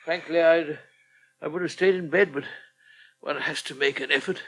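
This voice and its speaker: Older English convalescent, high-pitched, sharp, peevish, self-pitying, formal, radio drama. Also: male voice, steady tone, moderate pace, breathy edge, low-quality recording. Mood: gloomy, self-pitying. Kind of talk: British radio soap acting.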